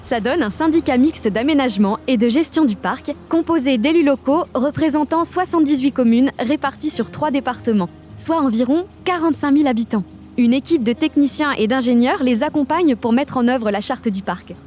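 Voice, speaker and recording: A woman from a regional nature park staff, giving upbeat scripted narration for an animated explainer. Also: high-pitched. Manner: upbeat, informative, lists facts briskly.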